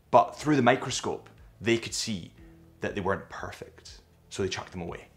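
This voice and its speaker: Young Scottish man presenting with enthusiasm. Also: on the low side. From Scotland. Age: young adult. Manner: animated, gestures with his hands. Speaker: watch retailer presenter. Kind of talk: enthusiastic watch vlog narration. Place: small bright indoor studio set.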